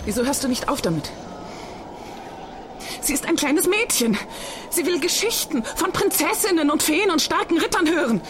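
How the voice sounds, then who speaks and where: A woman's voice: high-pitched, big pitch swings, moderate pace, pauses often, background noise. An actress playing the detective's wife in a radio play, a homemaker who talks to her husband at home. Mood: reproachful.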